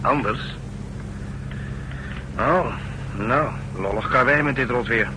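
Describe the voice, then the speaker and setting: An actor playing a grumbling, sardonic secret agent in a 1960s Dutch radio drama. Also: male voice, medium pitch, slow pace, close to an everyday voice. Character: gruff grumbling male secret agent at the wheel. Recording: noisy old 1960s radio recording. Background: loud vehicle noise.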